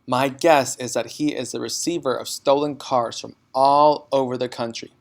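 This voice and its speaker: A kindly woman teacher from a storybook voiced by a man. She talks in a calm, measured way, gentle yet matter-of-fact. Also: medium pitch, vocal fry, lightly acted.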